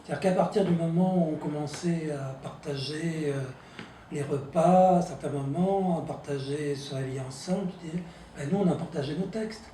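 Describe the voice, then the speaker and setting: Mellow, halting man in a roomy space. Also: fairly high pitch. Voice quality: halting, repeats words. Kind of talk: reflective interview talk. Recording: roomy distant mic, faint hum.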